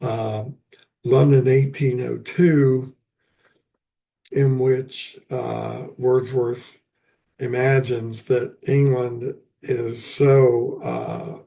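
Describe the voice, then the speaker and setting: Hoarse slow-talking older man lecturing. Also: medium pitch, flat delivery, warm tone. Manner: halting, many fillers and pauses. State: hoarse after illness. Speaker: host, poetry lecturer. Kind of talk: unscripted poetry lecture.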